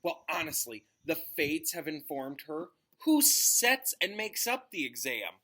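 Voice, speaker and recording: A man's voice: fairly high pitch, big pitch swings, unhurried, bright, forceful tone, full character voice. Man voicing an exasperated, scornful schoolgirl. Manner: indignant, pointed, scornful. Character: exasperated, sceptical bright schoolgirl.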